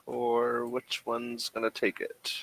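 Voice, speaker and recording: A man running a tabletop game as game master, talking casually and haltingly as he thinks rules through aloud. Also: mid-range voice, completely flat delivery, fairly bright tone, poor audio.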